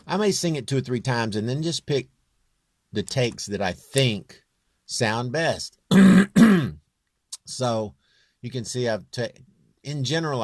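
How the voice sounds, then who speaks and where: A man with a low, near-bass voice talking through a home music production tutorial in a halting way, thinking aloud as he works. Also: no room sound, studio quality. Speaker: home musician demonstrating his vocal production. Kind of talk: informal screen tutorial.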